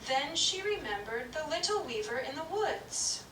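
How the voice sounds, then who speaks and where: A woman storyteller narrating a puppet show story in a gentle, measured reading. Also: high-pitched, sing-song. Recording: distant, roomy, noisy room.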